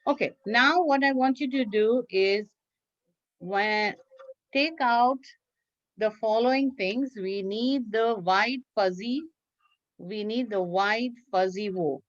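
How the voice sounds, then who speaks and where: Slow-paced woman teaching young children. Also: a little high, no echo. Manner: encouraging, praising, repeating instructions. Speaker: teacher leading a children's craft class. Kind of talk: instructional talk to young children, simple step-by-step. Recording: dull lo-fi online class audio.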